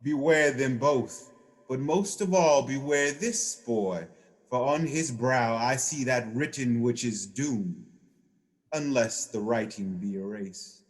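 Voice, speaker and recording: An actor playing an aged, solemn and stern dying spirit in an audio drama, speaking gravely and portentously. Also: male voice, medium pitch, natural voice. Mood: grave warning. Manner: slow, grave, portentous delivery. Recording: long cavernous reverb.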